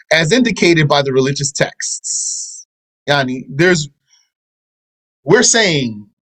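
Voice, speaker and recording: A man teaching a religious lesson at an everyday, middle pitch. He reads a line of a translated text and then explains it at length, slipping Arabic terms into his English. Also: fairly bright tone, low-quality recording.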